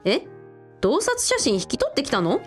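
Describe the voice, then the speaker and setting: Lively, high-voiced bossy big sister, acted by a woman. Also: moderate pace, faint music behind. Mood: teasing. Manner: blunt, inquisitive, teasing. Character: bossy, curious big sister, brisk and teasing. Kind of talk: radio drama acting.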